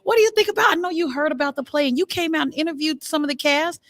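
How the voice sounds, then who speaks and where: Chatty, higher-pitched American woman hosting live. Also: animated, fast talker, dry recording. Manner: chatty, playful, teasing. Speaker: show host. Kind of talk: casual live event hosting.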